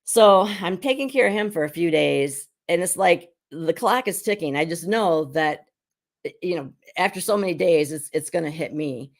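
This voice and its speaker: A middle-aged woman who is hoarse while getting over an illness, chatting casually as she hosts a live skincare demonstration at home. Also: lowish voice, lively intonation, moderate pace. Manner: chatty and rambling, with frequent restarts. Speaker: beauty consultant and show host.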